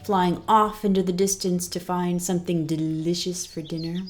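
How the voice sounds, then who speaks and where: A woman reading a scripted children's story as narrator, in an expressive, deliberate storytelling manner. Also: lowish voice, soft background music.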